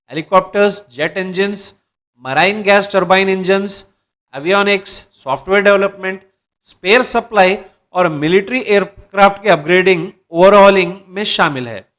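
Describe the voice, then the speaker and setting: A man with a notably high voice presents job news, speaking straight to his viewers. He reads out dates and criteria, now and then stumbling and restarting a word. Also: a bit bright. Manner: addresses viewers as "दर्शकों", occasional restarts. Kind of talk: explainer reading job details.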